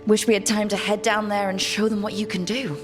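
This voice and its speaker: An actress playing a confident, wry adventurer in a video game, with a direct manner. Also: female voice, mid-range voice, little pitch movement, fairly quick, soft background music, lightly acted. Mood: curious, earnest. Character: confident, wry adventuring woman, determined and teasing. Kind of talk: video game character acting.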